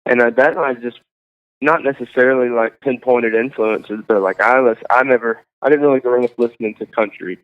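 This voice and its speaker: Young male guest, rambling and filler-heavy, smooth voice, tinny phone-like sound. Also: mid-range voice. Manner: halting, full of likes and ums. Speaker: singer-songwriter guest. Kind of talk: casual interview chat.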